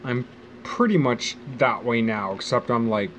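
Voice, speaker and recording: A man's voice: mid-range voice, a little slow, a bit bright, highs cut off, a little hiss or hum. Musing, profane man in his forties. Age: 40s. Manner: casual, profane, wry, musing aloud. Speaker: vlogger. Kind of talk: rambling personal monologue. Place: outdoors near a bench.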